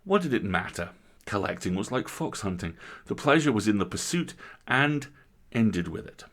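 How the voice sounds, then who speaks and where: A man narrates a literary tale in a deep voice with plenty of fry. His phrasing is theatrical and emphatic, set off by deliberate pauses. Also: sing-song, a bit bright. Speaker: story reader, host.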